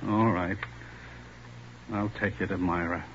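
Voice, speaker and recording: Rattled crook on muffled old radio. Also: male voice, low-pitched, steady tone, a little slow, fairly bright tone, a little hiss or hum. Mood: defeated. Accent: American. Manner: stammering, pleading, whiny. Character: rattled, weak crook, henpecked blaming husband. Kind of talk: 1940s radio crime drama acting. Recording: muffled 1940s radio recording.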